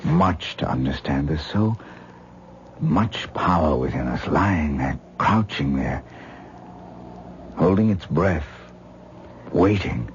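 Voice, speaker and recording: A man's voice: very deep voice, animated, slow pace, pauses often, exaggerated voice. An actor playing an intense, earnest man who lectures his wife on astral projection in a vintage radio drama. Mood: rapt, lecturing. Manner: dreamy, earnest, lecturing, gently condescending. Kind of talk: 1970s radio drama acting. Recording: muffled old radio broadcast with faint hiss.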